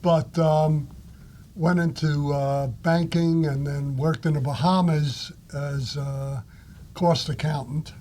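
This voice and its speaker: An older man with a New York accent who speaks haltingly, with many fillers. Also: a little high, fairly even intonation, warm tone, a little hiss or hum. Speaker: guest, lender. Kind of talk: interview answers.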